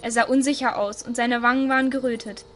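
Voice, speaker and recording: A woman's voice: fairly high pitch, fairly even intonation, fast talker, faint music behind. A woman reading scripted story narration for an audio drama.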